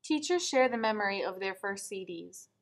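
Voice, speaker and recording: A teenage girl reporting a student news story in a scripted voice-over, measured and even. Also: mid-range voice, a little hiss or hum. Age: teens.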